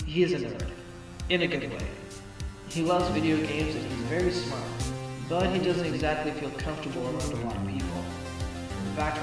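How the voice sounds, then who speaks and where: A sly, easygoing fox attorney acted by a man in an audio drama. He talks casually and teasingly with a mid-pitched voice, like an ex-hustler new to the courtroom. Music plays clearly behind him. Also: natural voice. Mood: wry. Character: sly, laid-back male fox, ex-con rookie lawyer. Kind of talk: amateur audio drama with first-person narration. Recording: music bed under the voice.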